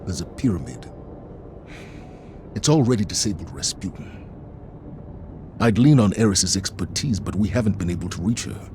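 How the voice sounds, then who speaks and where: A man playing a stern, resolute military commander in a video game, speaking gravely in clipped, authoritative phrases. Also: low voice, lively intonation, normal speed, pauses often, music in the background. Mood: grave, commanding.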